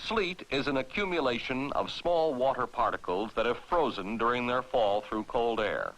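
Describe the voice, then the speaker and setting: An American man narrating a 1960s military training film in a formal, authoritative, clearly enunciated way, on a vintage film soundtrack. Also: mid-range voice, expressive, moderate pace, a bit bright, rough recording.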